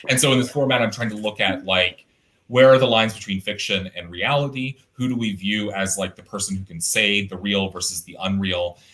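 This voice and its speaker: A young adult man with a deep, resonant voice, talking casually and thoughtfully over a video call, with fillers and restarts. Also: fairly even intonation, brisk, a bit bright, poor audio. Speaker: artist guest. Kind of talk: casual conversational interview.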